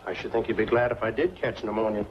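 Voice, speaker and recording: A man's voice: on the low side, fast, close to an everyday voice. An American actor playing a dogged, wry investigator on a man's trail in a 1940s film noir. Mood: wry, teasing. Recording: muffled, noisy 1940s film soundtrack.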